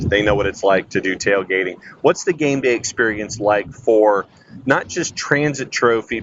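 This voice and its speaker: A man's voice: fairly low pitch, a bit bright. A man hosting a sports radio show, putting questions to his guest in a conversational interview style. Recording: dull lo-fi sound with faint noise.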